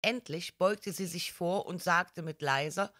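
Female audiobook narrator: slightly low voice, slow and measured storytelling. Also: fairly bright tone, no echo, clean studio sound. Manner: measured, careful reading.

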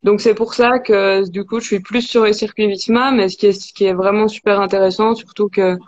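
A woman's voice: mid-range voice, steady tone, a little fast, darkish tone, a little hiss or hum. A woman, answering interview questions casually as an athlete over a video call. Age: 20s. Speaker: athlete being interviewed, cross-country skier. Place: indoors in a wood-panelled room. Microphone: video call, device at arm's length. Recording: dull lo-fi video call sound.

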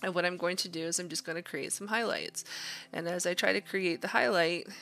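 A woman's voice: lowish voice, fairly quick, gravelly, fairly bright tone. A woman art instructor narrating an informal drawing tutorial, explaining conversationally as she thinks aloud.

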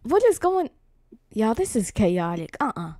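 A woman's voice: on the high side, very animated, a little slow, dark tone, no echo, clean studio sound. A woman in an improvised game roleplay, playing a flustered, bossy young woman in a dramatic, exclamatory way. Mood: exasperated. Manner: dramatic, exclamatory, repeats words. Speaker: player voicing a horror-comedy parody.

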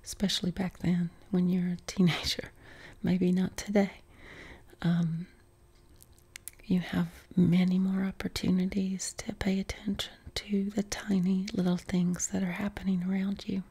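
Whispering woman with a soft Appalachian accent. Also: lowish voice, level tone, breathy edge. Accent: softened Appalachian. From West Virginia. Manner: unvoiced whisper, unhurried with many ums. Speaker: ASMR creator. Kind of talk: whispered Q&A chat. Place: living room, seated on a couch. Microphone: close mic, camera framed on torso.

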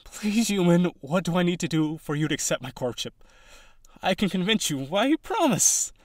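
Man acting as an eager, higher-voiced young harpy suitor. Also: sing-song, moderate pace, no echo, clean studio sound. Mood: frustrated pleading. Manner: earnest, rambling, nervous, pleading. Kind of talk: audio roleplay, speaking directly to listener.

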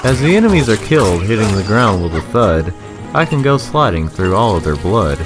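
A man's voice: lowish voice, very animated, a little fast, over music. A man reading a scripted, rhyming video game review in a sarcastic, sing-song manner.

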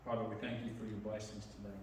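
A middle-aged man leading prayer as pastor, plain-spoken with some halting fillers, heard from a pulpit mic in a large church sanctuary. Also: lowish voice, little pitch movement, a little hiss or hum. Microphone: pulpit mic, camera far back. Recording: echoey sanctuary from far off.

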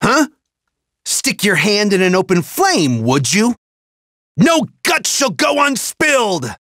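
A man's voice: sits high, huge pitch swings, takes it slowly, sharp tone, no room sound, studio quality, heavily acted. A man playing a wrathful, scornful fire god in a video game, bellowing in a grandiose, theatrical way. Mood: scornful fury. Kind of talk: theatrical game villain lines.

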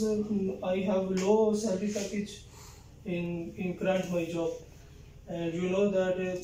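High-voiced, flat, polite young man. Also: slight background noise. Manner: polite, formal, addresses interviewer as ma'am. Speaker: job applicant. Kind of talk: job interview answers over video call. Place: small office room. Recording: echoey room, distant mic.

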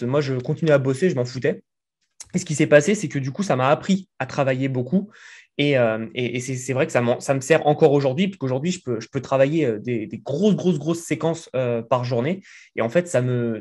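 A man's voice: medium pitch, a little mellow. A man in his thirties from France, a guest talking casually in an interview from his living room, chatty with many fillers. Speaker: guest entrepreneur. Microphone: desk mic in frame.

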